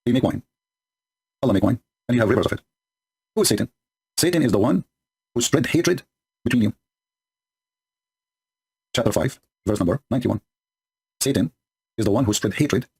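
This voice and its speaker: Male commentator, native Arabic speaker, husky voice, sarcastic and combative, broken English, sped-up recording. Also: mid-range voice, lively intonation, moderate pace, pauses often, darkish tone. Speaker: religious polemic commentator. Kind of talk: commentary reading and mocking scripture. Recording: sped up to double speed.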